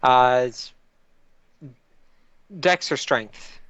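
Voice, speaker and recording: A man's voice: mid-range voice, very slow, fairly bright tone, clear, resonant tone, no echo. A man playing a tabletop role-playing game, chatting casually on a dull-sounding microphone. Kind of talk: casual chat. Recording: close, dull voice-chat microphone.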